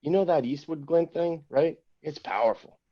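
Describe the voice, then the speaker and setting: A smug, balding showrunner in his fifties, read by a man at a table read teases with mock sympathy and turns bullying. His middle-pitched voice comes through a slightly muffled recording. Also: normal speed, natural voice. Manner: smug, mocking, then bullying.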